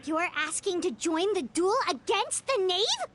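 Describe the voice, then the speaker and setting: Squeaky, animated little fairy girl. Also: measured pace, heavily acted. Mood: worried. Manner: squeaky, expressive, questioning. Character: tiny childlike fairy companion girl, chatty and fretful. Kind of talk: cartoonish video game acting.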